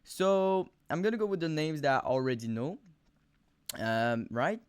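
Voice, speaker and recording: A young man with a thick Quebec French accent giving rambling, profane fight predictions on camera, full of fillers and sudden animated outbursts. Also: fairly high pitch, unhurried, clean tone, no room sound, clean studio sound. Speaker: MMA video host. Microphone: camera mic, close.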